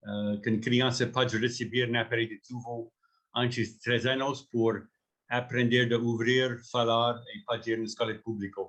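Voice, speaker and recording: Halting man with a Canadian English accent. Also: medium pitch, level tone, fairly bright tone. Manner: halting, stumbling non-native speech with word searching. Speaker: company founder. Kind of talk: informal company talk.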